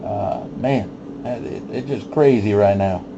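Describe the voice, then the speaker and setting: Low-voiced man chatting over noisy CB radio. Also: a little slow, vocal fry. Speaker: CB radio operator hosting a channel night. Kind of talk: casual CB radio chat. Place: home base radio station. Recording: noisy, dull CB radio audio with static hum.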